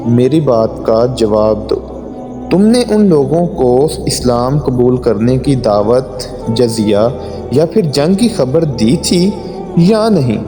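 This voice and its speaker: A man voicing a stern, just judge in a story, formal, firm and deliberate. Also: medium pitch, expressive, close to an everyday voice. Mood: stern questioning. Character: stern, just male judge pronouncing a verdict. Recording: long hall reverb, music underneath.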